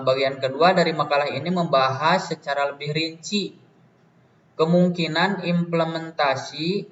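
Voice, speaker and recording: A young man giving a student presentation from prepared notes in a halting manner. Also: on the high side, fairly bright tone. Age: young adult. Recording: dull, distant, roomy, faint noise.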